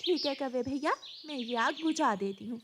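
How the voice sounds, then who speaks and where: Naive little cartoon bird voiced by a woman, high-pitched. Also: lively intonation, normal speed, noisy background. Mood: eager, polite. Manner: sweet, polite, earnest. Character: naive, trusting, hardworking little female bird. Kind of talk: Hindi cartoon story character voice.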